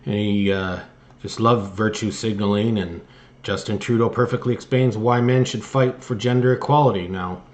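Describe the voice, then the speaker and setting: A man giving political opinion commentary as host, speaking in a halting, hesitant way with phrases that trail off. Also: fairly low pitch, fairly even intonation. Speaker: host of an online political news commentary show. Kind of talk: political opinion commentary, partly read from articles.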